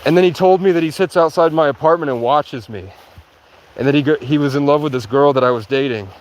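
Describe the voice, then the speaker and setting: A sleep-deprived man livestreaming outdoors in a rural area, rambling in a halting way with many restarts. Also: a little high, rough recording. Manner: halting, restarts often, heavy use of "like". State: sleep-deprived after hours of talking. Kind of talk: rambling casual monologue.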